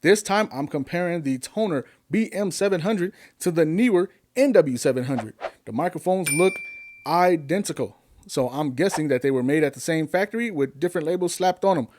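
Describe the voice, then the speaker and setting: A man's voice: on the high side, animated, moderate pace, darkish tone. A man talking casually to camera in a home studio, upbeat and chatty, asking viewers lots of questions, recorded close on a desk condenser mic. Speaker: YouTube tech reviewer. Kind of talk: casual vlog talk to camera. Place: home studio with gear behind. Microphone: desk-mounted condenser mic on an arm.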